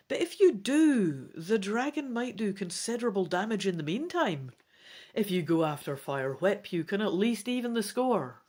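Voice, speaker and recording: A woman reading a gamebook aloud dramatically and expressively, with casual commentary as she plays. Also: on the high side. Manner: theatrical, expressive. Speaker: gamebook reader and player.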